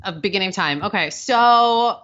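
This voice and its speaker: A woman's voice: mid-range voice, animated, moderate pace, a bit bright, dull audio. A woman from Ukraine whose first language is Russian, telling her story as a guest in a breezy, self-deprecating way with many "like"s. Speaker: guest, agency founder. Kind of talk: casual storytelling interview.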